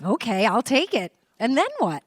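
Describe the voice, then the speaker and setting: Sweet high-voiced young sweetheart. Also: female voice, sing-song, moderate pace, dry recording. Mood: sweet, teasing. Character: sweet, demure small-town young woman, quietly teasing. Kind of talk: live radio play acting.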